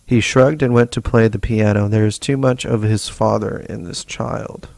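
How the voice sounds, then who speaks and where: A man hosting a let's play, reading in-game notes slowly and evenly between short casual asides. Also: on the low side, steady tone, vocal fry, a little mellow, a little hiss or hum. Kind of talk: casual gameplay commentary and reading notes aloud.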